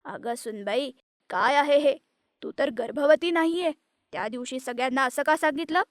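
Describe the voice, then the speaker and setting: Cartoon storybook: woman voicing a harsh, greedy mother-in-law, pitched down, close and dry. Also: normal speed, dry recording, lightly acted. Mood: scolding. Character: stern greedy middle-aged mother-in-law.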